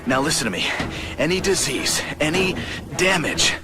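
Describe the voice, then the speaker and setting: A man playing a shaken, defensive corporate scientist in a video game, speaking in a halting way. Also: fairly high pitch, sing-song, heavy background noise. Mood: halting, grave. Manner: halting, hesitant.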